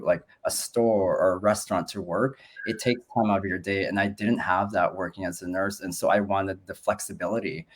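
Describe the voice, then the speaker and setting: Man, 40s, from the Philippines, low voice, video call, friendly, conversational, frequent ums. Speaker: nurse and business owner, call host. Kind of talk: casual group video call.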